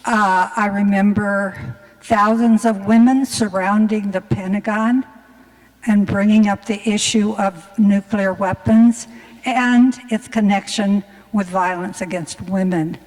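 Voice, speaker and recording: A woman's voice: medium pitch, fairly even intonation, takes it slowly, darkish tone. A woman in the audience raising an issue and asking the panel to take it up, in an earnest, insistent way. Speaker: audience member asking a question. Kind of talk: question from the floor. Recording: reverberant hall.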